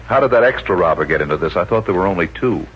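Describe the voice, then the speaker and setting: A dryly sardonic detective sergeant, low-pitched and animated as he probes and teases. It sounds like old radio, dull and slightly noisy. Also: racing. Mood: skeptical. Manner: dry, probing, sardonic. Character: skeptical male police detective sergeant, dryly teasing. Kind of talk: 1950s radio drama acting.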